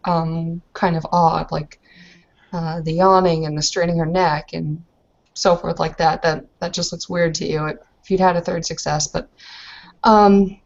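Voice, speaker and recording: Low-voiced woman running a tabletop game. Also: creaky, a little mellow, highs cut off. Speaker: game master. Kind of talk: casual, improvised game narration.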